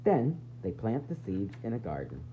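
A man reading a children's book aloud like a teacher, expressively, with dramatic pauses, emphasis and questions to his young listeners. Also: fairly low pitch, wildly swooping pitch, mellow. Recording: dull, faintly noisy home recording.

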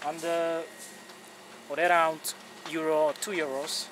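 Young man, high voice, casual vlog chat, action camera, outdoor wind noise. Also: level tone, takes it slowly, a bit bright. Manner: chatty, plainspoken, non-native English phrasing. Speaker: cycling vlogger. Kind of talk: casual travel vlog with voice-over narration. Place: outdoors on a bike path and inside a supermarket. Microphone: action camera on the handlebars and handheld.

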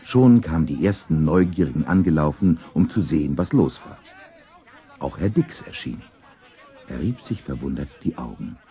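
Very deep-voiced male storyteller. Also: sing-song, normal speed, mellow. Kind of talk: expressive scripted children's story narration.

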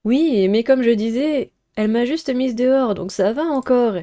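Young woman doing a lets play, teasing, mellow voice bit on the high side. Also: normal speed. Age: young adult. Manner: playful, teasing. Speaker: streamer playing and reading a visual novel. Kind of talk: let's play, reading dialogue aloud and commenting.

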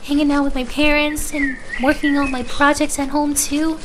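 A woman's voice: sits high, little pitch movement, normal speed, noisy background, lightly acted. A woman voicing a shy, flustered young woman in an ASMR roleplay, speaking hesitantly with bashful stammers. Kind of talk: ASMR roleplay audio drama.

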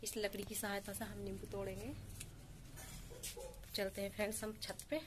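Young woman vlogging on her phone in a yard, kinda monotone, lots of outdoor noise around. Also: medium pitch, moderate pace. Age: 20s. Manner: chatty, showing things to the camera. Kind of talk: casual village vlog. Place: outdoor village courtyard and rooftop. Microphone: phone held at arm's length. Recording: clear outdoor background noise.

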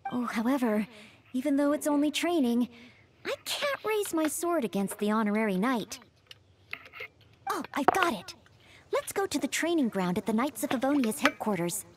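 A woman's voice: high voice, sing-song, normal speed, a crowd in the background. A woman voicing a polite, earnest young maid who wants to be a knight, in courteous, hesitant video game dialogue. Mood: eager, polite. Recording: game music behind the voice.

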